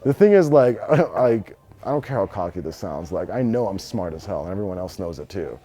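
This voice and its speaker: A young American man with a deep, soft-edged voice talks casually in an interview. His speech is blunt and full of slang, and he swears freely. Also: sing-song, brisk. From Los Angeles, United States. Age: 20s. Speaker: interviewee, business owner.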